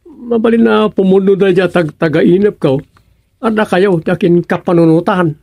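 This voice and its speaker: A man acting a droll, easygoing village daydreamer in an Ilocano radio comedy, with an Ilocano accent and a gravelly, husky voice. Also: high-pitched, normal speed, a little mellow, soft background music. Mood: eager, explaining.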